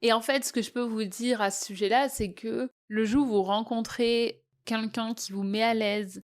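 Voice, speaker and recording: A woman's voice: a little high, fairly even intonation, normal speed. A French woman from southeast France talking casually in a podcast, friendly and conversational, with frequent hesitations. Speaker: podcast host.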